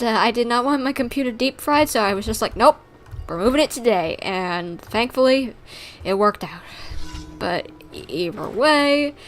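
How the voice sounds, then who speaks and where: Female game streamer, slightly high voice, casual and rambling, given to animated complaining. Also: slight background noise. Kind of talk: casual livestream chat while gaming.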